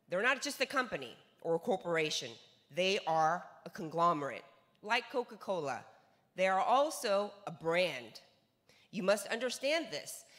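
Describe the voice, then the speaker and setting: A woman's voice: mid-range voice, fairly bright tone. A woman in her forties with a North American accent gives an emphatic sales lecture on stage into a handheld mic, repeating words for stress and asking rhetorical questions. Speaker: business seminar speaker. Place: large stage in a hall. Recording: long hall reverb.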